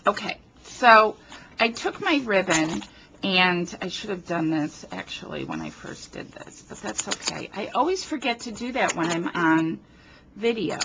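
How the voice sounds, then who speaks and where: A woman from New Jersey talks through a card-making project at a slow, unhurried pace, chatty and quick to poke fun at herself. She sniffles now and then from a runny nose. Her voice is picked up by a camera on a tripod over a craft table, with a faint hiss behind it. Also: lowish voice, fairly bright tone, low-quality recording. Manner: chatty, self-deprecating, talks while working. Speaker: card-making tutorial host. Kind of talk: casual craft demonstration. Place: home craft table, indoors. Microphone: camera on tripod above the table.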